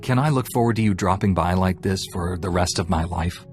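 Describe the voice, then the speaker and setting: A man playing a low-voiced, curt Jedi warrior. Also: fairly quick, faint music behind, close to an everyday voice. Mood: dry, resolute. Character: stern, dry-witted young male Jedi warrior hero. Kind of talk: video game cutscene acting.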